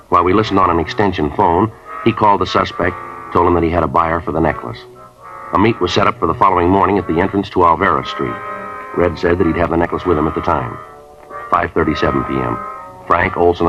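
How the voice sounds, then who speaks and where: A terse, methodical police sergeant in an old radio crime drama, clipping out facts in a very deep voice and at a brisk pace. The broadcast is dull, with faint music underneath. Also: male voice. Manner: flat, matter-of-fact, clipped. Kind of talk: clipped 1950s radio police procedural narration.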